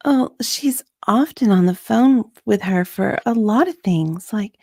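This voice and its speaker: Woman reading as a slow, mellow, hesitant witness. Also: on the high side, slightly rough, no echo, studio quality, heavily acted. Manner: hesitant, drawn out, uncertain. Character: hesitant, unsure female deposition witness. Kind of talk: amateur dramatic reading of a legal deposition.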